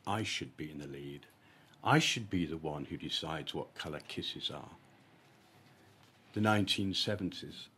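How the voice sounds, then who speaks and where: A man, an author reading his own short story at a live event, with deliberate, emphatic phrasing and comic timing. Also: fairly low pitch, a bit bright, a little hiss or hum. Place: live literary event at an arts venue.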